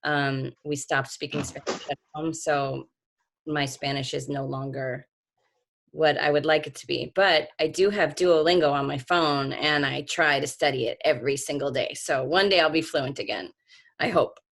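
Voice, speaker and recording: A woman's voice: low-pitched, steady tone, moderate pace, gravelly, fairly bright tone. A woman author speaking earnestly on a video call, reflective, with frequent restarts. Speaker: author being interviewed.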